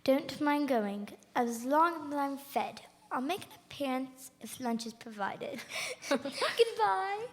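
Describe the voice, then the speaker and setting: Woman playing high-voiced self-serving gossip. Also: lively intonation, takes it slowly, exaggerated voice. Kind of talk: live radio theater acting. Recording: reverberant hall.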